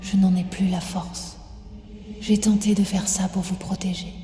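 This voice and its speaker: Une femme qui joue, dans une fiction audio, l'esprit mourant d'un arbre volcanique, grave et las, d'une voix chuchotée et mesurée. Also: female voice, medium pitch, little pitch movement, warm tone, faint music behind, close to an everyday voice. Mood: solemn, pleading. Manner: grave, whispery, measured. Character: dying volcanic tree spirit, solemn, weary female guardian. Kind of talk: audio drama acting. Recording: long echoing reverb.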